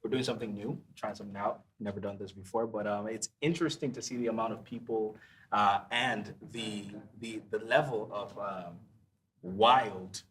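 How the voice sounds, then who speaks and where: A man's voice: fairly low pitch, fairly even intonation, normal speed, fairly bright tone, low-quality recording. A man hosting a casual podcast panel, talking loosely with lots of fillers and trailing asides. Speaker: podcast host.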